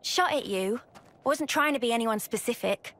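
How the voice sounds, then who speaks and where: Feisty, higher-voiced young British soldier woman. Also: lively intonation, moderate pace, fairly bright tone. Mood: brisk, mocking. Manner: blunt, slangy, sarcastic. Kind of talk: video game cutscene acting.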